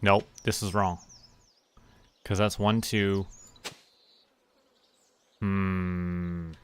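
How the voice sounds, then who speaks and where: A young man talks slowly in a low, easygoing voice, murmuring numbers and small asides to himself as he plays. A faint hiss of background noise sits under his voice. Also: little pitch movement, fairly bright tone. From Canada. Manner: muttering, counting to himself while planning. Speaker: streamer. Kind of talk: relaxed gameplay commentary.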